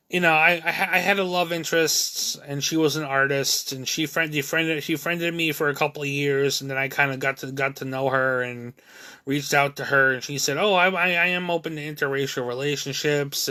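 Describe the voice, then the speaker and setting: A man in his thirties talks through his own life in a loose monologue for his video. His voice is bright and forward. He halts constantly, restarting phrases and leaning on fillers, and he is frank and hard on himself. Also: fairly high pitch, clear, resonant tone. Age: 30s. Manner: halting, many restarts and fillers; candid, self-critical. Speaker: video creator. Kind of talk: rambling personal monologue.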